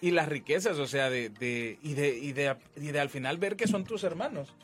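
A man's voice: a little high, lively intonation, moderate pace, a bit bright. A man, speaking as a priest in an informal religious conversation, emphatic and repeating phrases as he explains. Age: 20s. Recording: music under the talk.

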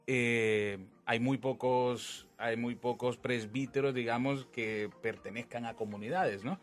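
A man explains faith in an informal conversation. His voice sits at a medium pitch. He leans on phrases, repeats them and acts out what others say, with soft music behind him. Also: fairly even intonation, a little slow. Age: 20s. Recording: music under the talk.

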